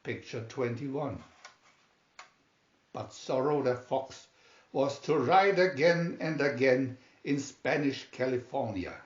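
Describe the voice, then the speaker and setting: Male storybook narrator: unhurried, theatrical emphasis, mid-pitched, roomy home recording. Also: lo-fi sound. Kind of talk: dramatic scripted narration.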